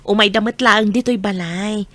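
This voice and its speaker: A woman's voice: mid-range voice, steady tone, moderate pace, fairly bright tone, no room sound, natural voice. A woman playing a hard-working, generous mother in an Ilocano radio drama, with a northern Philippine accent. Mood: warm, giving.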